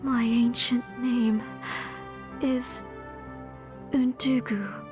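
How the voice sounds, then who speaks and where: Grieving mom in a game, bitter n halting, high voice, slow. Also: female voice, level tone, a little mellow, soft background music. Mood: broken, regretful. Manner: halting, sorrowful. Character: grieving mother, an adult woman, anguished and bitter. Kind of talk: dramatic video game voice acting.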